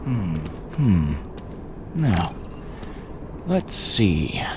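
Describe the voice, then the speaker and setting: A man playing a cocky, easygoing young space hero in an animated game, with a wry, casual, self-assured manner. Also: low-pitched, very slow, very noisy, heavily acted. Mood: confident.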